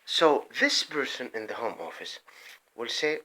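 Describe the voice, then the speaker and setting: Animated man ranting in bursts. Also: medium pitch, measured pace. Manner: rambling, emphatic, often breaks off and restarts; swings between loud outbursts and muttered asides. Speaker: vlogger. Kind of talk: impassioned political monologue.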